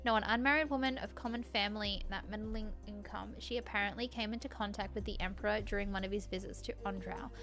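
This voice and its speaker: A woman's voice: medium pitch, steady tone, fast, few pauses, close to an everyday voice. A woman reading a visual novel's composed palace advisor aloud in a formal, matter-of-fact way, as if reporting to royalty. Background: background music.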